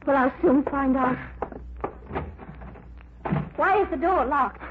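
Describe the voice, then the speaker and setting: A woman's voice: high voice, slow. An actress playing a worried, firm young woman in a 1940s radio horror drama. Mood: insistent. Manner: anxious, insistent. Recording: noisy old radio broadcast, phone-like.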